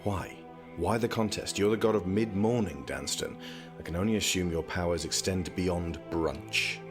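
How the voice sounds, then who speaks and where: A man acting in an audio drama as a young scholarly prince, speaking with dry, measured, formal wit. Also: sits low, music in the background, natural voice. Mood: determined.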